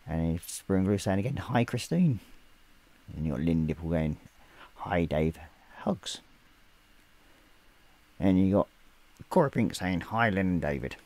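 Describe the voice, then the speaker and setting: A man in his forties with a slightly croaky voice, casually chatting on a live stream and reading out viewer messages as he paints. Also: low voice, a little slow, long pauses. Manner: reads chat messages aloud, relaxed and rambling. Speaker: livestream host. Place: small hobby room at home.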